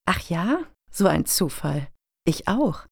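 Actress as haughty female lobbyist, gravelly fry, very animated melody, wry, radio satire. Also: fairly low pitch, slow, no room sound, clean studio sound, full character voice. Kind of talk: German radio satire acting.

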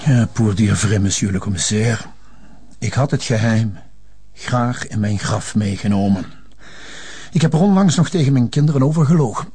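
An actor playing a resigned, lovelorn elderly notary in a crime drama, an older man with a Flemish accent who speaks haltingly and genteelly. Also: lowish voice, dark tone, slightly rough. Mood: resigned, hesitant. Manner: halting, genteel, peppered with French phrases. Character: resigned, lovelorn elderly male notary confessing old secrets. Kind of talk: television crime drama acting.

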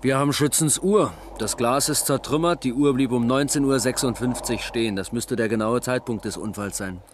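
An actor playing a sober, sceptical police lieutenant, terse and matter-of-fact, in a German radio crime drama. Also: male voice, medium pitch, normal speed, close to an everyday voice. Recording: clear ambient noise.

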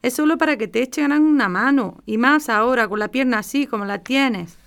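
A woman's voice: a little high, brisk, gravelly, a bit bright, smooth. A woman with an accent from Spain playing a caring, insistent older mother in a radio soap, coaxing and matter-of-fact. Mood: persuading. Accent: Spanish from Spain.

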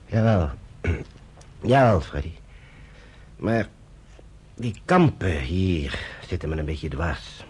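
An actor in a radio play, playing a troubled, conscientious British general, grave and measured, with a husky voice. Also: male voice, deep voice, darkish tone, full character voice. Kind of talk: Dutch radio play acting.

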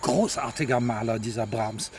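A man's voice: mid-range voice, normal speed, a little mellow, a little hiss or hum, close to an everyday voice. An actor playing a polite, matter-of-fact male train official in a German radio drama. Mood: unruffled, helpful.

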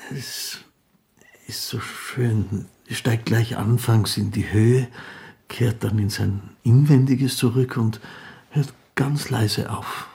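An actor playing a shy, earnest amateur fiddler in a radio drama, speaking hesitantly and rambling in old-fashioned, formal phrases. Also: male voice, medium pitch, takes it slowly, vocal fry, darkish tone. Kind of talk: first-person literary radio drama acting.

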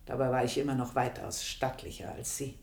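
A woman's voice: rumbling low, level tone, gravelly, a little mellow, reverberant. An actress playing an earthy, proud, aging housemaid, confiding her memories in a radio play monologue. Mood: brooding pride. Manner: confiding, unhurried storytelling with pointed pauses. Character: earthy, proud aging housemaid reminiscing about past desires.

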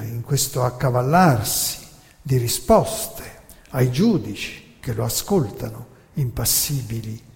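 A man narrating history as scripted dramatic storytelling, in a theatrical, measured way with rhetorical pauses and emphasis. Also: mid-range voice, mellow. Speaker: narrator and author of a radio theatre monologue. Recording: long reverberant hall sound.

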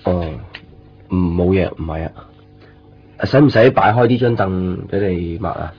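Low voiced brooding hong kong boyfriend, evasive, old radio drama w music behind, dull sound. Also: male voice, measured pace. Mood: hesitant. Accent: Hong Kong Cantonese. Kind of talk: 1990s Cantonese radio drama acting.

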